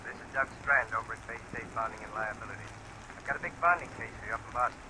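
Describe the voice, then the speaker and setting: An actor playing a brisk, excitable insurance man making a phone call in an old radio drama. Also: lowish voice, background noise, lightly acted. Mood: brisk, urgent.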